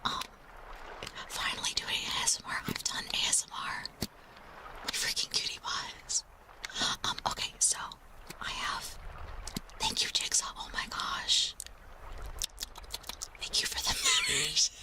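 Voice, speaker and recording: A woman's voice: measured pace, long pauses, poor audio. A woman hosting an ASMR livestream, whispering breathily and close to the mic in a playful, rambling, apologetic way. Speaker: livestream host.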